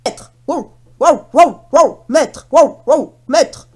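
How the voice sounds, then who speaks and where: A woman voicing a practical, eager male farm dog in a children's story, loud and emphatic. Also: high voice, animated, drawn-out words, voice pushed up, heavily acted. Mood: urgent barking.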